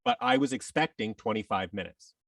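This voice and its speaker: A man with a fairly low voice speaks as an interview guest, a little away from the microphone. His delivery is casual and rambling, with emphatic points and little acted-out quotes along the way. The room adds very little echo. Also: expressive, fairly quick, creaky, a bit bright, recorded from a distance. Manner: rambling, emphatic, often acts out hypothetical quotes. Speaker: podcast guest, actor. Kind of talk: casual interview talk giving advice.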